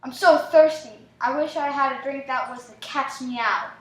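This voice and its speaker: A woman's voice: sits high, normal speed, a bit bright. A woman playing a perky big brother in a 1920s radio commercial skit, in an echoey room.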